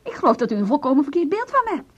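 A woman acting a bitter, agitated estranged wife in a Dutch radio play, with a husky voice and a Netherlands accent. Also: high voice, big pitch swings, racing. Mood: defensive, upset. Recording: slightly dull radio recording.

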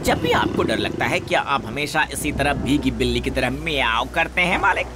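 A man voicing a sly, fawning cartoon jackal sidekick in a wheedling, excitable way. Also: mid-range voice, moderate pace, close to an everyday voice. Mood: mocking, goading. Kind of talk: animated cartoon dub acting. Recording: loud music behind the voice.

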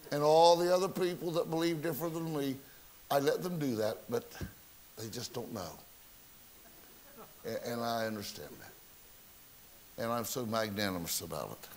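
A man's voice: mid-range voice, moderate pace, long pauses, a little mellow, slight background noise, rough recording. A man preaching a sermon, telling folksy stories and asking the congregation rhetorical questions. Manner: folksy storytelling with rhetorical questions, self-mocking. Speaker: pastor. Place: church sanctuary.

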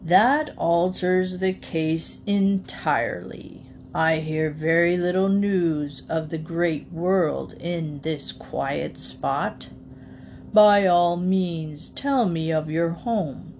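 An ancient storybook alligator, played by a woman, drawls grandly and very slowly. He is pompous and polite with a sly menace, over faint background noise. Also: on the low side, darkish tone. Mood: stately, curious.

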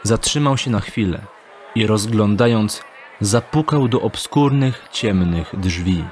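A man narrating an audio drama in a measured, deliberate storytelling manner. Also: on the low side, a little mellow, a little hiss or hum.

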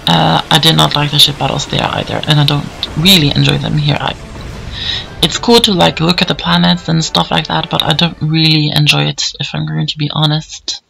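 A hoarse man giving casual, rambling commentary over gameplay, with plenty of fillers. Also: a little high, little pitch movement, moderate pace, bright, forceful tone, rough recording. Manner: rambling, easygoing, many fillers. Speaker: let's play commentator.

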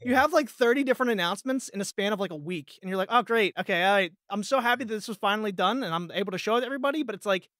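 A man's voice: sits high, very fast, hardly any pauses, fairly bright tone, no echo. A man telling stories in a casual podcast chat, peppering them with "like" and quoted reactions. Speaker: voice actor, podcast guest.

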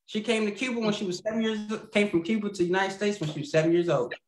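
A man's voice: high voice, fast talker, a little hiss or hum. Rambling man on a roomy video call. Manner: rambling, laughs mid-sentence, many fillers and restarts. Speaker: guest. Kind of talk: casual storytelling. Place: home room.

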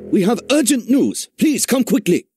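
Urgent, high-voiced soldier. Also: male voice, sing-song, moderate pace. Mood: alarmed. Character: alert, urgent male soldier reporting to his commander. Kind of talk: video game cutscene acting. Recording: loud background music.

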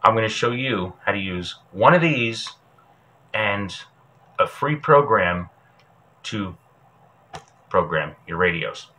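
An American man presents a casual how-to lesson in a fairly low voice with a bright, forward edge. He explains in plain, folksy, joking language. He is recorded indoors with slight noise in the background. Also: expressive, slow, long pauses. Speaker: host of a survival show, instructor. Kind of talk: informal how-to tutorial to camera. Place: small indoor room with patterned wall.

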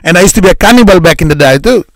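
A man's voice: high-pitched, lively intonation, very fast, fairly bright tone, no echo. A man from North Sumatra in his late forties, hosting a casual video-call chat in a rambling way, repeating his words. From North Sumatra, Indonesia. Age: 40s. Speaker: host.

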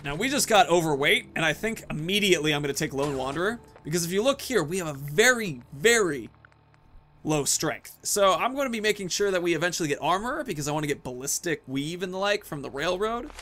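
A man's voice: on the high side, expressive, a little fast, sharp tone. A man in his thirties giving casual commentary as the host of a gaming video.

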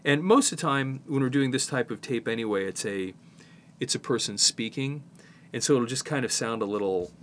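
A man in his fifties with a slight head cold, presenting a casual hands-on repair tutorial in an informal, halting way as he works. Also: medium pitch, slight background noise. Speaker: presenter, tape repair technician.

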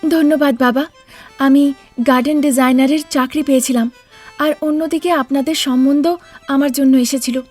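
A woman's voice: high-pitched, steady tone, normal speed, a little mellow, soft background music, close to an everyday voice. A woman voicing a confident, eager and respectful young daughter-in-law in a cartoon. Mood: polite, pleased. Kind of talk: Bengali cartoon voice acting.

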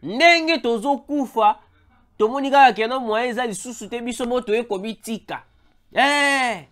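Voice-over news commentator, Congolese French accent, stuffy with a cold, animated, keeps calling out to the audience. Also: medium pitch, moderate pace. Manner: animated, repeatedly calls out to viewers, mixes in Lingala. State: has a head cold. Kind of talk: emphatic news commentary to viewers. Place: voice-over over a still image.

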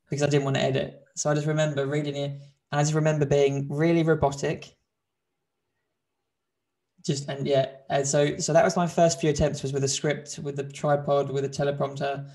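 A young man chatting casually on a video call, talkative and quick to laugh, often restarting his sentences. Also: fairly high pitch, creaky, darkish tone. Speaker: host doing a video challenge. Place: bright home room. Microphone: video call, desk mic.

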